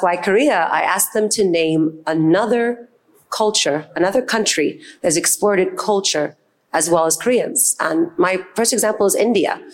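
A woman curator leads a live panel from an event stage. Her voice sits slightly low, and she talks in an effusive, enthusiastic way. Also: poor audio.

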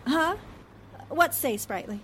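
A woman acts a good-natured, sensible newspaperwoman in a forties-style radio drama. Her voice is high, with a mild, agreeable delivery. Rain and car noise are clearly heard behind her. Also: lively intonation, takes it slowly, a bit bright, exaggerated voice. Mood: mild, rueful. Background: rain and vehicle sounds.

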